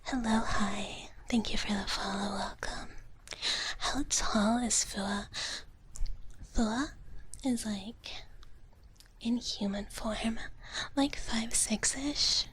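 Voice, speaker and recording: A woman whispering softly and breathily in a gentle ASMR livestream chat, close to the microphone. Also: a little high, steady tone, a little hiss or hum, low-quality recording. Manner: slow, gentle, speaks of herself in third person as a dragon character. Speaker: live streamer hosting ASMR.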